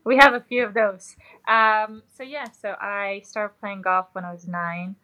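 A young woman from Belgium, a professional golfer being interviewed, answering in a relaxed, chatty way with many fillers. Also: mid-range voice, measured pace, muffled recording.

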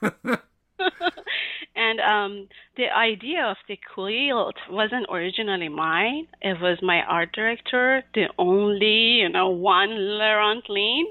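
A woman's voice: medium pitch, dry recording. A woman from Iran, a guest illustrator in a casual interview, talking with many fillers and little laughs. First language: Persian. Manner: warm, giggly, halting with many fillers. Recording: tinny phone-like line.

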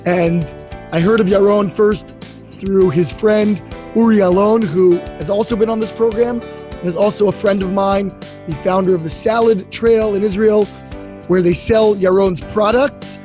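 A man hosting an interview, introducing his guest and asking measured, friendly questions. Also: sits high, fairly even intonation, mellow, faint music behind. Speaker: host. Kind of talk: podcast interview.

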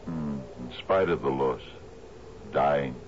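An actor playing a troubled older sea captain in a 1970s radio drama, with a hesitant, stammering delivery. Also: male voice, extremely low pitch, slow pace, darkish tone. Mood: somber, wistful. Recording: muffled, noisy 1970s radio broadcast.

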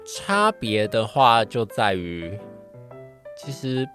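A man's voice: on the low side, big pitch swings, takes it slowly, sharp tone, soft background music. A young man with a Taiwanese Mandarin accent, chatting casually as an interview guest in a hesitant, modest way. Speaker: podcast guest, trainee voice actor.

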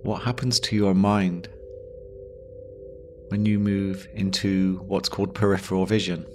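A man guiding a yoga nidra meditation, giving gentle instructions slowly with long pauses. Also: low voice, level tone, slight background noise. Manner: slow, pausing, gentle instruction. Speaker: meditation guide.

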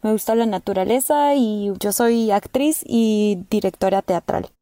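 A woman's voice: medium pitch, a little slow, mellow, dry recording. A woman in her twenties with a Guatemalan accent, casually telling a personal story. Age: 20s. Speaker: interview guest, actress and theater director.

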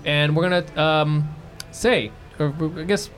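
Higher-voiced American man, joking podcast host. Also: fairly even intonation, slow, a bit bright. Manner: joking, casually profane. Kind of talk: casual comic banter.

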